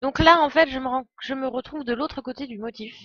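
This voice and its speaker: A woman's voice: sits high, brisk, dry recording, lo-fi sound. A woman giving casual live game commentary, explaining as she goes with hesitations. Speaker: game streamer.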